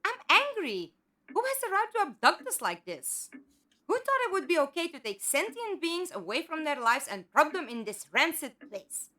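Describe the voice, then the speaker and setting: A woman's voice: sits high, sing-song, moderate pace, sharp tone, full character voice. A woman playing an earnest, indignant female captive with memory loss in an audio drama. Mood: angry. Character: Five, earnest indignant female abductee with amnesia.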